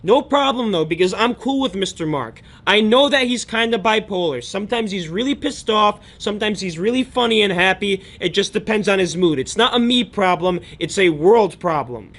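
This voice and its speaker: High-pitched young American man, chatty vlogger. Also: fairly bright tone. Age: young adult. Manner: chatty, slangy, emphatic. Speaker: daily vlogger, online book seller. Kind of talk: casual vlog storytelling.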